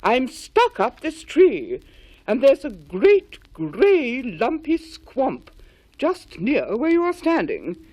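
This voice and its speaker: A woman voicing a small, timid Mickaboo creature who speaks in a high, nervous, stammering way. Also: very animated, slow, dark tone, no echo, exaggerated voice, poor audio. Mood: exasperated.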